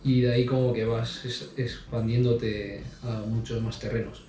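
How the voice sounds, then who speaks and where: Man, mellow low-key tone, hesitant with fillers, echoey room, a bit off mic. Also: level tone, normal speed, recorded from a distance, soft background music. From Spain. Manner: hesitant, many fillers and restarts. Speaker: interview guest. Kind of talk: casual interview answers. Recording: roomy sound from off mic.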